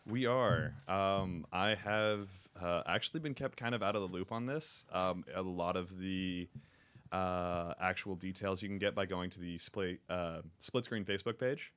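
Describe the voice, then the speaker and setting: A young man with a rich, deep timbre talking casually as an interview guest. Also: a little slow, a bit bright, smooth. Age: young adult. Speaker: interview guest, student radio promotions staffer. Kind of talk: casual, unscripted interview talk.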